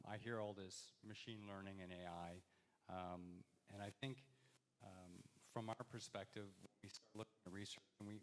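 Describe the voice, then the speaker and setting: A man answering questions on a panel at a university event, hesitant, with frequent ums and restarts. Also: on the low side. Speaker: industry panelist. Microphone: handheld mic, passed around.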